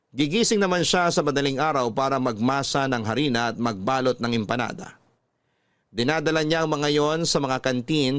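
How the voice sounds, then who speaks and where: A man's voice: mid-range voice, no echo, close to an everyday voice. A man narrating a dramatic first-person story as a confessing husband, with a Filipino accent. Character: resentful husband confessing his past in a letter.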